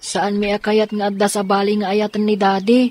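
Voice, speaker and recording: A woman's voice: medium pitch, monotone, brisk, a little mellow, close to an everyday voice. A woman playing an upset, earnest child in a radio drama, plaintive and insistent.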